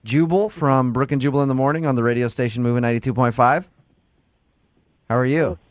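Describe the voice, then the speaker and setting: A man's voice: mid-range voice, a little fast, dry recording. A man hosting a morning radio show, chatting casually and jokingly. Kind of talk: casual radio prank-call chat.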